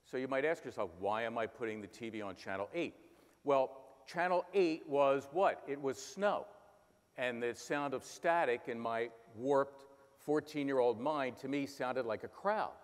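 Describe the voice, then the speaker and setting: A man's voice: medium pitch, measured pace. A man in his sixties from Philadelphia, a sports announcer, telling stories on stage and breaking into bits of play-by-play. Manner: storytelling with bursts of play-by-play imitation. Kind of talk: on-stage conversation. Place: large conference hall stage. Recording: hall reverb.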